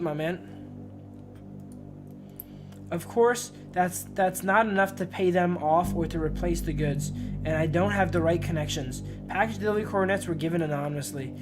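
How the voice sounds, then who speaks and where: Man streaming with music behind him. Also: fairly high pitch. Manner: slangy, informal, often addressing listeners as bro and dude. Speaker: live streamer. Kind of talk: casual game commentary, reading visual novel text aloud.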